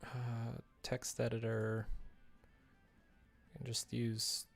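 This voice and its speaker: Slow-paced man muttering through a livestream, creaky voice. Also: mid-range voice, completely flat delivery, soft background music. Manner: muttering to himself in short fragments. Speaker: livestreamer developing a visual novel. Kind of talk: casual livestream thinking aloud while working.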